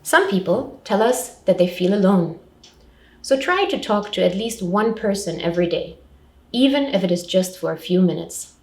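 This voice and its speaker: Woman, mid-pitched voice, scripted advice talk, simple structured phrasing, roomy echo, distant microphone. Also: expressive, moderate pace, darkish tone. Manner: simple, clearly structured advice in short sentences. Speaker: refugee support counsellor presenting advice. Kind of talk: scripted public information talk.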